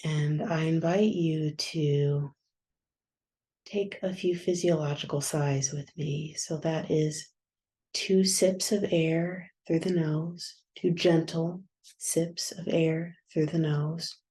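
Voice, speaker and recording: A woman guiding a yoga nidra meditation in a soft, soothing, unhurried manner with long pauses. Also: low-pitched, fairly even intonation. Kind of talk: guided meditation.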